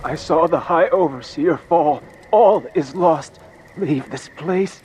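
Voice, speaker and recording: A man's voice: a little high, slow, a little mellow, slight background noise, rough recording. A man acting a dying, bitter religious zealot in a video game, speaking with weary solemnity. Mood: grave, defeated.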